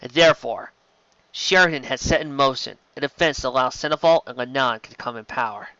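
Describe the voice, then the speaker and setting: A man's voice: mid-range voice, a little fast, sharp tone, clear, resonant tone, dry recording, muffled recording. A man reading science fiction aloud as an amateur audiobook narrator, in a dramatic storytelling style.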